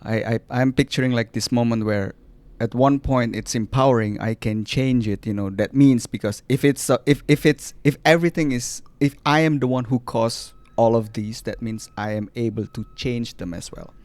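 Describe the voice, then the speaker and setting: A man's voice: mid-range voice, moderate pace, a little mellow, no echo. A man from Indonesia hosting a podcast interview, speaking thoughtfully and searching for words as he reflects. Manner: thoughtful, searching, frequent restarts. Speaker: podcast host.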